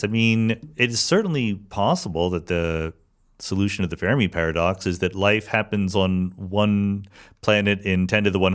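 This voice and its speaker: Male interviewer, fairly low pitch, conversational and informal, measured and thoughtful delivery. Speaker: podcast host, interviewer.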